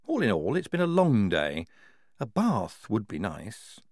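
A man's voice: fairly low pitch, wildly swooping pitch, unhurried, a little mellow, no echo, heavily acted. A man voicing a young woman soldier in a storybook, plain-spoken and stubborn, with an understated, dry, firm manner. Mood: weary, dry.

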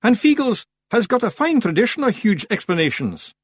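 A high, swooping Scottish voice for a pint-sized clan chief. He is bossy and boastful, hectoring his troops in emphatic storybook speeches. The sound is close and dry. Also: very animated, normal speed, slightly rough, no echo, exaggerated voice. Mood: proud.

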